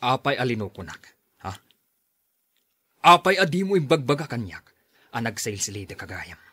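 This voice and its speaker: An actor playing a surly, jealous, hard-drinking husband in a Filipino radio drama, with a Filipino accent. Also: male voice, medium pitch, big pitch swings, normal speed, a bit bright, slightly rough, dry recording. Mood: angry, accusing.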